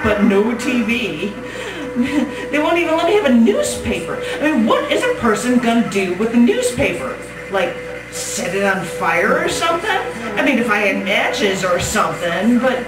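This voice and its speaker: Confined, unhinged Southern woman played by a female stage reader, colloquial, repetitive and defensive; echoey live room with music underneath. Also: medium pitch. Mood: aggrieved. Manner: rambling, halting, colloquial, repetitive. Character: confined woman inmate acting crazy, rambling, defensive, paranoid. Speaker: performer reading a monologue. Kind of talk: live dramatic monologue reading. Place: small gallery cabaret stage. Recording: roomy distant live recording with music.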